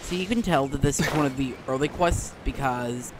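A man's voice: medium pitch, normal speed, background noise. A man hosting a gameplay video, giving casual commentary and reading dialogue aloud. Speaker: gaming video host. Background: game music.

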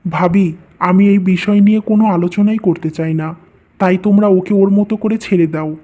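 An actor voicing a troubled, dutiful young husband and son, earnest and weary, in an animated family melodrama. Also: medium pitch, moderate pace, close to an everyday voice. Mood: reluctant, firm. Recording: dull, lo-fi muffled sound.

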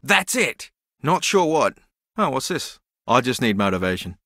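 An actor playing a slow-witted, good-natured ex-rugby player in a video game, earnest, literal and befuddled. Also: male voice, medium pitch, sing-song, bright, forceful tone, no room sound.

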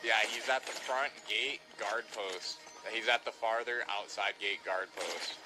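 A young man playing a roleplay shooter game, giving quick orders and calling everyone dude. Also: fairly low pitch. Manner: bossy, gives quick orders, says dude a lot. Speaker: gamer streaming roleplay. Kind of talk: casual game chat. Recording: music playing behind.

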